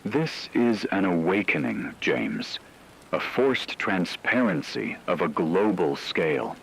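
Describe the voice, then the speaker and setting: A very deep acted male voice of a grave news anchor in an apocalyptic radio drama. His formal, solemn delivery rises and falls expressively, over a faint rain-like noise. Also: big pitch swings, slow, vocal fry, full character voice, low-quality recording. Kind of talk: scripted dramatic news broadcast narration.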